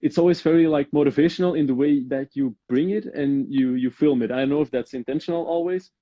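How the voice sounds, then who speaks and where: A man's voice: on the high side, fast talker, warm tone, dry recording, highs cut off. A young man from Belgium hosting a podcast interview over a video call, hesitant, filling with um and like. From Belgium, Antwerp. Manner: hesitant, fills with "um" and "like". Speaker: podcast host. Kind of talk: casual interview.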